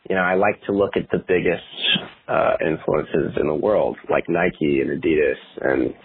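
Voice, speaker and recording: A man's voice: extremely low pitch, moderate pace, husky. A man in his twenties talking casually as an interview guest, rambling with many fillers and restarts. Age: 20s. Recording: tinny phone-like audio.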